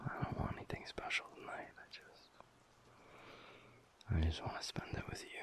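Man acting a whispering, very deep werewolf boyfriend. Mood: tender, wistful. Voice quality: breathy, gravelly whisper. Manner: slow, soft, pausing, murmured. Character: tender, teasing alpha werewolf boyfriend, low-voiced man. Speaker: audio roleplay performer. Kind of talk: intimate whispered boyfriend roleplay.

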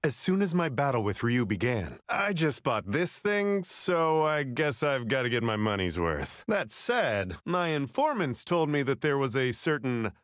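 A man's voice: mid-range voice, very animated, unhurried, few pauses, dry recording, clean studio sound. An actor playing a cheerful, cocky young male martial-arts fighter and vlogger in a video game, breezy, confident and showy, with slangy speech.

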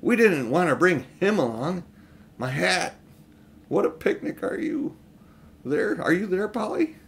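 A man's voice: high-pitched, sing-song, measured pace, pitched above the performer's own voice, full character voice. A plucky schoolboy voiced by a male reader speaks in a raised, urgent voice. He exclaims his lines like the young hero of a storybook adventure. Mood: exasperated.

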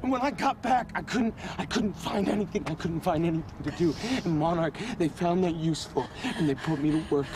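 An actor playing a desperate, protective man in a screen drama, halting and repeating himself with intense emotion. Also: on the high side, background noise, natural voice. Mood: anguished confession. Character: desperate, protective husband confessing and pleading. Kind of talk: live-action screen drama acting. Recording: loud music under the dialogue.

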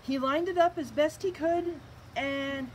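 A middle-aged woman reading a picture book aloud as a storyteller, with an expressive, amused delivery. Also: sits high, a little slow. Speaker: library storyteller. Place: outdoors on a covered wooden bench structure. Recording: outdoor recording with faint ambient noise.

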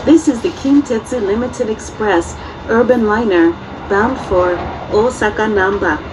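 A woman reading a scripted train announcement in a formal, measured, carefully phrased way. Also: medium pitch. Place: train PA heard inside a moving carriage. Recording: distant onboard speakers, loud train noise.

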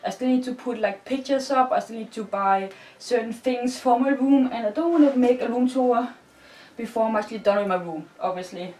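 A woman's voice: a little high, darkish tone. Young Danish woman, bubbly rambling vlogger, far from the mic in an echoey bedroom. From Denmark. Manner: bubbly, giggly, rambling with many "like"s. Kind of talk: casual chat while decorating. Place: bedroom, indoors. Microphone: far camera. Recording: roomy, distant, with music faint behind.

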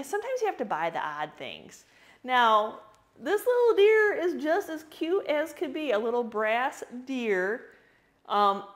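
A woman, a reseller chatting casually on her vlog as she shows her auction finds, rambling with fillers and small laughs. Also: on the high side. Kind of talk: casual vlog show-and-tell.

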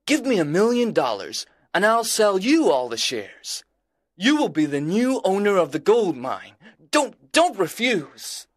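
Man doing a high, frantic, desperate young friend. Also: wildly swooping pitch, a little slow, no echo, heavily acted. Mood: excited. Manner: pleading, breathless and overjoyed.

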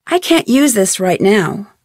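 A young detective heroine from a mystery video game. She sounds curious, sensible and upbeat, with a medium-pitched voice. Her delivery is self-possessed and conversational, each word clearly enunciated, in a dry recording with no room sound. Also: big pitch swings, a little slow, a little mellow, no room sound. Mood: matter-of-fact. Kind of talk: video game voice acting, scripted lines and letter narration.

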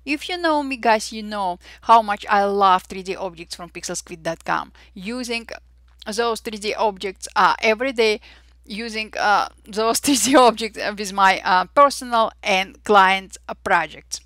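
A woman with an Armenian accent hosting a software tutorial, cheerful and chatty with frequent fillers and little exclamations. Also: medium pitch, measured pace, no echo. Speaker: Photoshop tutorial host. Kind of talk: screen-recorded software tutorial.

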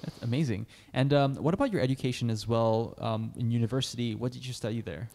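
A man's voice: medium pitch, fast talker, vocal fry, darkish tone. A young man hosting a podcast on a studio mic, encouraging his guest and asking long questions. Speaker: podcast host. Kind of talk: interview hosting. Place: bright studio room. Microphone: studio mic on a boom arm.

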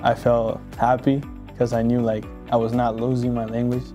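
A young man from Ecuador, a student, speaking plainly and softly in an interview. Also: medium pitch, monotone, moderate pace, faint music behind.

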